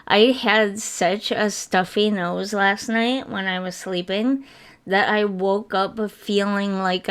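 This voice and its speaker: A woman talking over a building game in an unhurried, meandering way, full of little fillers and asides. Her voice is smooth and clear underneath but sounds slightly rough and stuffy today. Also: medium pitch, a bit bright. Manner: chatty and apologetic, rambling with fillers. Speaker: gaming video creator. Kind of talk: casual voice-over commentary over a speed build.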